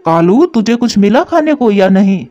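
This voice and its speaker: A man's voice: high voice, sing-song, normal speed, dark tone. A man voicing a caring, responsible male pigeon in a children's moral story cartoon. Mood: reassuring. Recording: dull lo-fi track with music.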